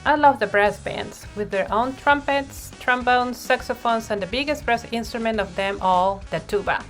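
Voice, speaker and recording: A woman narrating a children's picture book in an expressive read-aloud style, with deliberate phrasing and emphasized words. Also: fairly high pitch, poor audio. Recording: music playing behind the voice. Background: music.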